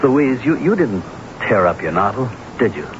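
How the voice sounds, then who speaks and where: An actor playing an earnest, nervous husband in a radio drama, speaking and narrating in a hesitant, halting way. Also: male voice, mid-range voice, big pitch swings, very noisy, lightly acted. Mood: pleading, insistent. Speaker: actor and first-person narrator. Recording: muffled vintage radio broadcast, music behind.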